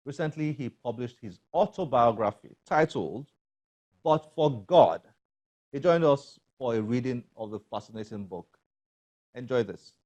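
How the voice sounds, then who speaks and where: A man's voice: medium pitch, lively intonation, a little slow, darkish tone. A man presenting a scripted introduction for a television book segment.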